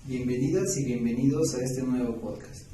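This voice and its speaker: A young man of twenty with a southern Peruvian accent presents a podcast from a script. His tone is very mellow. He sounds a little far from the mic, in a strongly reverberant room. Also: mid-range voice, moderate pace. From Juliaca, Puno, Peru. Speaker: podcast co-host. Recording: echoey room, distant mic, faint noise.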